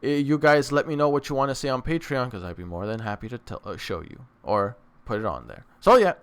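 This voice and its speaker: Clear-voiced man chatting casually as host. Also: medium pitch, very animated, brisk. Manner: rambling, repeats and rephrases himself. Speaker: reaction video host. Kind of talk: casual review chat to camera. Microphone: microphone on a stand.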